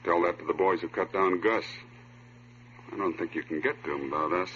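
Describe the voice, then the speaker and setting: A man's voice: deep voice, fairly quick, fairly bright tone. An actor playing a cocky, dapper male gangster in an old radio drama, casual and slangy, on a muffled, slightly noisy recording. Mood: cool defiance. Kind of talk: 1950s radio drama acting. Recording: muffled vintage radio recording, faint noise.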